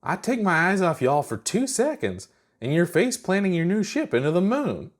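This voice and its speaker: Man voicing a high, folksy teasing monster girl. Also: very animated, moderate pace. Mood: teasing concern. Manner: folksy, teasing, says y'all. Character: cheerful young female monster-person, teasing, caring daughter.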